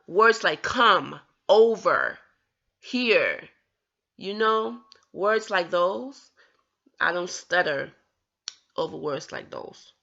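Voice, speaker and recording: A woman speaks slowly and earnestly to her viewers, her voice gravelly yet bright. She stumbles and restarts on a word now and then but keeps an encouraging tone. The recording sounds dull and lo-fi. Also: fairly low pitch. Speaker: vlogger who stutters. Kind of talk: personal talk to camera.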